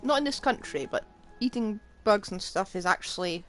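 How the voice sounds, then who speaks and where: A woman's voice: mid-range voice, animated, moderate pace, a bit bright. A woman streaming a game, giving casual, playful reaction commentary in an informal way. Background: game music.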